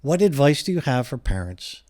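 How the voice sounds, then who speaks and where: A man's voice: mid-range voice, very animated, normal speed, dark tone. Podcast host man, conversational. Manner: plain conversational questioning. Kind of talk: casual podcast interview.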